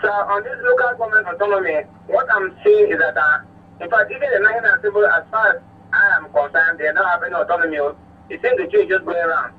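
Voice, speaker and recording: Nigerian man, high voice, earnest phone-in caller, narrow tinny line, humming noise. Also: quick pace. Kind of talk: earnest political opinion on a radio phone-in. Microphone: telephone.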